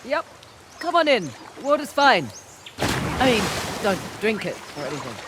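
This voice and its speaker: A woman playing a confident, quick-witted treasure hunter in a video game, with a wry, breezy way of talking. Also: fairly high pitch, big pitch swings, heavy background noise. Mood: wry, upbeat. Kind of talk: naturalistic video game cutscene acting.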